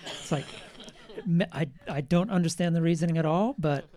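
A man working as a film editor, speaking on a panel in a relaxed, self-mocking way. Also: fairly high pitch, moderate pace. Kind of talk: panel discussion.

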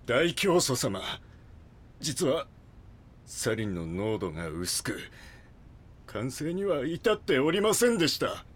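An actor playing an anxious, deferential cult follower who speaks formally in an audio drama. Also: male voice, medium pitch, big pitch swings, takes it slowly, pauses often, slightly rough, full character voice. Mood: deferential, uneasy.

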